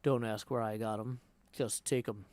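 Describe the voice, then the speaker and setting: A man voicing a gruff, folksy mortal man from a video game, plain-spoken and kindly. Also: on the low side, steady tone, normal speed, darkish tone, no echo, pitched below the performer's own voice, natural voice.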